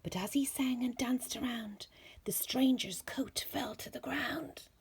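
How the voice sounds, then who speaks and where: A woman with a husky, breathy voice reading a rhyming children's story aloud in slow, deliberate phrases. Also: fairly high pitch. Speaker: storybook reader.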